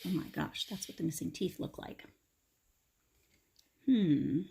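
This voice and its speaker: A woman's voice: low-pitched, slow, gravelly, dark tone, husky. A woman reading a picture book aloud to young children, narrating expressively and adding chatty asides.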